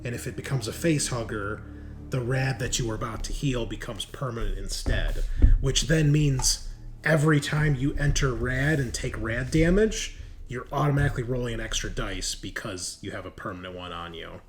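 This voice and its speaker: A man's voice: medium pitch, normal speed. A man running a tabletop game, explaining rules and describing scenes in a casual, profane, teasing way. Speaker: game master. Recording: music playing behind the voice. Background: music.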